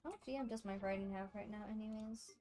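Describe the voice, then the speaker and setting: A woman's voice: mid-range voice, fast, dark tone. A woman livestreamer giving casual, chatty gameplay commentary to her viewers, speaking off the cuff. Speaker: game livestreamer.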